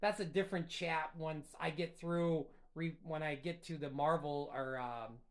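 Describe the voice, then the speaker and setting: A man's voice: on the high side, steady tone, unhurried. A man hosting a casual solo chat, talking in a rambling, enthusiastic way with lots of fillers. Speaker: host. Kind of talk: casual solo chat about a cartoon rewatch.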